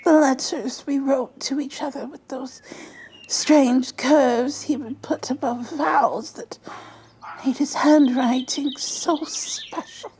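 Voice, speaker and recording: A woman voicing a frail, wistful elderly woman who tells wartime memories in a breathy, halting ASMR storytelling whisper. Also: high-pitched, steady tone, a little mellow, a little hiss or hum. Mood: tender nostalgia. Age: old. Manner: halting, repeating words, trailing pauses.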